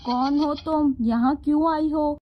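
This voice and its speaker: High-pitched stern devil, voiced by a woman, muffled sound. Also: steady tone, measured pace, a little hiss or hum. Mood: stern, accusing. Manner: commanding, scolding. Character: stern punishing devil guarding a magical house. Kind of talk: Hindi fairy-tale cartoon voice acting.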